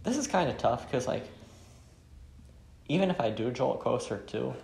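A man's voice: medium pitch, expressive, moderate pace, gravelly, darkish tone, roomy sound. A man chatting casually as he plays a game and reads bits of it aloud. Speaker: gamer playing and reading game dialogue. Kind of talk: casual let's play chat with read-aloud dialogue.

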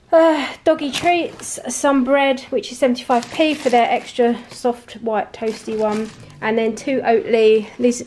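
A woman's voice: a little high, gravelly, a little mellow, a little hiss or hum. A middle-aged British woman chatting casually and with easy amusement, like a vlogger talking viewers through things at home. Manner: chatty, self-amused, laughs lightly often. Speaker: grocery haul vlogger. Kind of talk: casual chat to camera. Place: indoors at home, at a table of shopping. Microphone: handheld camera, speaker off screen.

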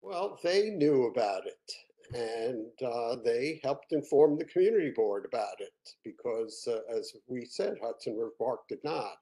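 Guy on a video call walking through a resolution, warm low-key tone, lots of uhs. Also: very animated, poor audio. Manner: measured, explanatory, with frequent fillers. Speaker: committee chair presenting a resolution. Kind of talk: video-call board meeting.

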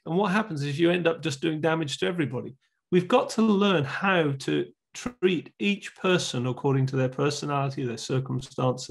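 A middle-aged man teaches earnestly as a church leader, his voice fairly high for a man and gravelly. The sound has a husky edge. He speaks through a wired earphone mic on a video call from a book-lined room at home. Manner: earnest, explanatory, gestures with both hands. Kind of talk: recorded teaching talk.

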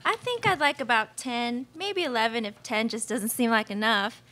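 Woman as a sugary, high-voiced belle. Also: normal speed, sharp tone, slight background noise. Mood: sugary, gushing. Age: young adult. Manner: sugary, chatty, oblivious. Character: gushing, baby-crazy sweet Southern belle Peaches. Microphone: stage microphone on stand.

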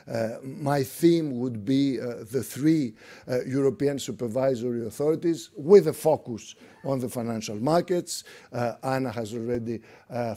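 A man's voice: medium pitch, mellow. A middle-aged man from Greece with a Greek accent, giving an unscripted conference talk full of fillers and restarts. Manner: hesitant, many fillers and restarts. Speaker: conference speaker. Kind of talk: unscripted lecture. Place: conference room.

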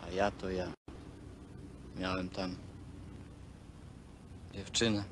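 Casting: resigned young country lad turned worker, low voice, terse broken phrases, noisy old film soundtrack. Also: male voice, monotone, takes it slowly. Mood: guarded, flat. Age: young adult. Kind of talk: naturalistic Polish film dialogue.

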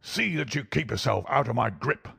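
Furious, snarling old villain. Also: male voice, mid-range voice, sing-song, a little slow, vocal fry, slightly rough, no room sound, clean studio sound, voice pushed up, full character voice. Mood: menacing. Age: older. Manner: snarling, shouting threats and insults. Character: huge, furious, menacing old doctor, a villainous bully.

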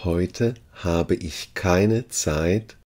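A man working as a language teacher, reading short phrases deliberately and repeating each one for learners. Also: low-pitched, darkish tone.

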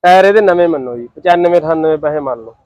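A man's voice: on the high side, fast, darkish tone. A man with a Punjabi accent selling used tractors, talking casually and persuasively in colloquial speech. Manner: persuasive, colloquial, uses rhyming echo words. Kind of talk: casual sales pitch in conversation.

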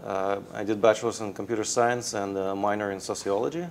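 A man's voice: fairly low pitch, steady tone, a little fast, a little hiss or hum. A man in his thirties from Russia with a Russian accent, answering interview questions hesitantly with many fillers. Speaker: guest, tech founder. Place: indoor studio set. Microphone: lapel.

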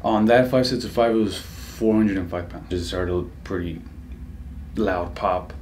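A man's voice: sits low, measured pace, a little mellow. A man in his twenties giving casual, brief and slightly hesitant answers as a patient. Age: 20s. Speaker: patient, security guard. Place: small office treatment room. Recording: echoey office, faint background noise.

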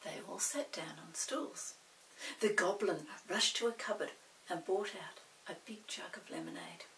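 Woman, 60s, children's storyteller, slow pace, expressive, varied pitch. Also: distant mic, slight background noise, low-quality recording. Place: small indoor set with dark backdrop.

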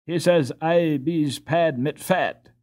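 Man doing a halting Dutch-accented boy. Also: fairly high pitch, a little mellow, voice pushed up. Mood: amused. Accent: Dutch, put on. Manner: halting broken English. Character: good-natured Dutch boy with broken English. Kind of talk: children's storybook character acting.

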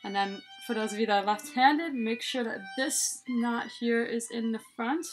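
A woman's voice: on the high side, normal speed. Chatty young woman giving a tutorial, music behind. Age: young adult. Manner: chatty, step-by-step explaining. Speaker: head scarf tutorial host. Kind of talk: casual hands-on tutorial. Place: small room indoors, plain wall.

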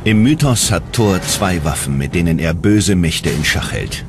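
Documentary narrator, male, low voice, measured dramatic scripted read over prominent music. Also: expressive. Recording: loud music under the voice. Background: loud background music.

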